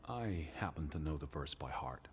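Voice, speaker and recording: An actor playing a stern, learned 1920s American naturalist in a video game, earnest and skeptical, speaking precisely and formally. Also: male voice, rumbling low, animated, moderate pace, slightly rough, exaggerated voice. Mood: matter-of-fact.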